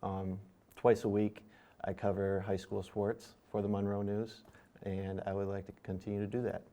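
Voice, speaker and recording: A middle-aged man from Michigan, answering and asking questions in a measured, deliberate way with filler pauses. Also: fairly low pitch, level tone, warm tone. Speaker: returning student and interviewee. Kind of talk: casual interview chat.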